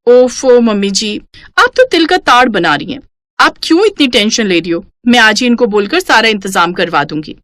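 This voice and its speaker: A woman voicing a pampered, stubborn young daughter-in-law in a cartoon story, whiny and quick to talk back. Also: fairly high pitch, very animated, fairly bright tone, no room sound, clean studio sound. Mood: breezy, insistent. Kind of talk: Hindi cartoon moral story acting.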